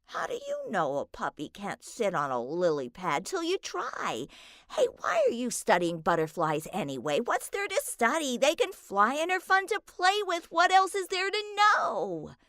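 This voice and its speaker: A boisterous young puppy, all excitement and nonstop questions, bursting out in a high, lively voice, as a woman puts him on. He gushes loudly and bounces from one question to the next. The recording is dry and close. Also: dry recording, heavily acted. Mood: excited. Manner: gushing, loud, rapid questions.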